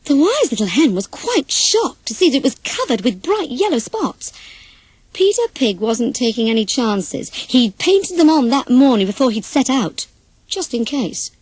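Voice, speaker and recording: A woman reading a children's story as an animated, scripted narration. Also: high voice, moderate pace, breathy edge, dry recording.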